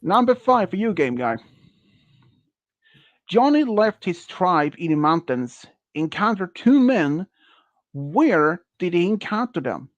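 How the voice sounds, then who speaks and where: Man, early thirties, non-native English speaker, slightly high voice, very slow and halting, dry close sound. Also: big pitch swings, dry recording, dull audio. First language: not English. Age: early 30s. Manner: slow, halting, many restarts and fillers. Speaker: quiz host. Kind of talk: casual stream quiz chat.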